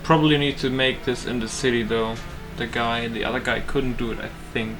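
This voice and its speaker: A man's voice: mid-range voice, steady tone, brisk. A man giving casual gameplay commentary, thinking aloud as he hosts a gaming video. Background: game music.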